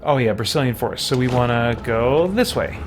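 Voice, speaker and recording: A man's voice: mid-range voice, a little fast, background noise. A man giving casual live commentary while playing a video game, thinking aloud and musing to himself as he goes.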